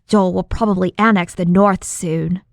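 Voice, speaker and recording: A woman's voice: on the low side, steady tone, normal speed, vocal fry, no echo, studio quality. A woman reading an audiobook, voicing a respectful, earnest young male disciple who explains things deferentially. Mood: respectful, eager. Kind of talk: audiobook character dialogue.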